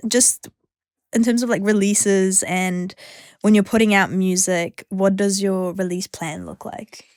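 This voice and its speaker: A woman's voice: mid-range voice, steady tone, unhurried, darkish tone, no room sound, studio quality. A young woman from New Zealand hosting a casual interview, chatty and encouraging, with lots of fillers. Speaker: interviewer, musician.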